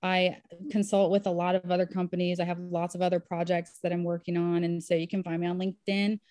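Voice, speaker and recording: A woman doctor guesting on a podcast, with a somewhat low voice. She sounds upbeat and enthusiastic as she talks. The recording is dry, with no room sound. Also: flat delivery, quick pace, dark tone, no room sound. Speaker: physician guest. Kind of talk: casual interview talk. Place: home in Nashville.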